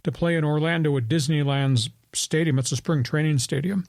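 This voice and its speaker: Brief: gravelly older man in his seventies, sports radio host, rambling, opinionated, slightly higher pitch, dry close sound. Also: a little fast, a little mellow, no echo. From New York. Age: 70s. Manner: storytelling, opinionated, rambling with restarts. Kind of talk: sports talk radio chat.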